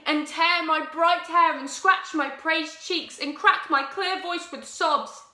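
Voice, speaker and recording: A woman's voice: extremely high pitch, steady tone, takes it slowly, sharp tone, pitched above the performer's own voice, exaggerated voice. A young woman playing a lovestruck young woman, declaiming impassioned Shakespearean verse in an audition. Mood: passionate. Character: young woman vowing loyal love. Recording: echoey room.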